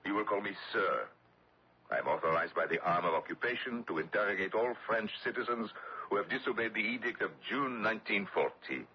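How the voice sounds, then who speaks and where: An actor playing a sarcastic, commanding French collaborationist officer who menaces prisoners in a radio drama. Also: male voice, on the low side, brisk, slight background noise, natural voice. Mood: curt, commanding. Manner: commanding, sneering. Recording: dull lo-fi old radio recording.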